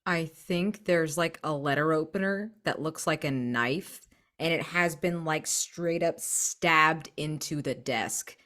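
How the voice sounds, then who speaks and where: A woman's voice: low-pitched, slow pace, fairly bright tone. A woman playing a tabletop game, casually improvising a description.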